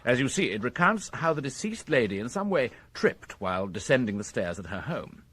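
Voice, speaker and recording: British police inspector in radio drama, bit husky, lively intonation, formal n precise, methodical type. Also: male voice, medium pitch, a little fast. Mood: measured, formal. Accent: British English. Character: methodical, courteous male police inspector reporting his findings.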